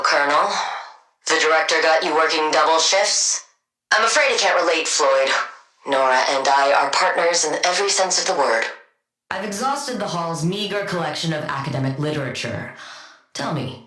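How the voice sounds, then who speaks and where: Icy genius scientist villain for a game, low sharp voice, dry sarcasm. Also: female voice, echoey room, rough recording. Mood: dry, matter-of-fact, then sardonic. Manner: dry, clipped, deadpan sarcasm. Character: cold, sardonic female genius scientist villain devoted to her wife. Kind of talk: video game voice acting.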